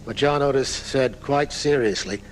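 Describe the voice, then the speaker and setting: A man acts out a famous older writer with a theatrical storyteller's flourish. He speaks in florid, dramatic phrases at a medium pitch, in a live radio play caught on a slightly noisy off-air recording. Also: moderate pace, lightly acted. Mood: grandly reminiscing. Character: aging famous male writer recounting his own murder, theatrical.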